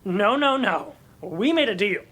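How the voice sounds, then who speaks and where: A woman's voice: mid-range voice, lively intonation, unhurried, background noise, natural voice. A woman playing a pompous, pedantic male collectibles seller who is grudging and indignant, in a comedic audio drama.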